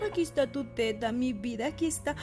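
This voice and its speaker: A woman's voice: high-pitched, fairly quick, over music, close to an everyday voice. An actress playing a loving young Mexican village wife and mother in an audio drama, affectionate and sweet. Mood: tender.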